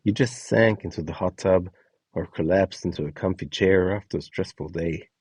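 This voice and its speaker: A man's voice: low voice, normal speed, a little mellow, breathy edge, dry recording. A man reading scripted instructions and short scene prompts as the narrator of a guide.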